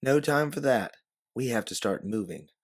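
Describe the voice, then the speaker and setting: A man's voice: medium pitch, animated, normal speed, voice pushed up, natural voice. A man voicing an exasperated, commanding woman time traveller in a story. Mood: urgent.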